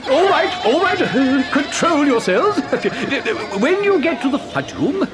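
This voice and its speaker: An actor playing an excitable, eccentric chocolate factory owner in a children's audio drama, with a theatrical, stammering, chuckling delivery. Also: male voice, very high-pitched, takes it slowly, loud music behind the voice, exaggerated voice. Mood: flustered, amused. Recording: noisy old cassette recording.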